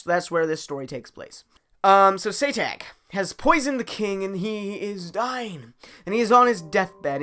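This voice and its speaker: A man casually improvising a story as host, halting and thinking aloud as he goes. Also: a little high, fairly bright tone.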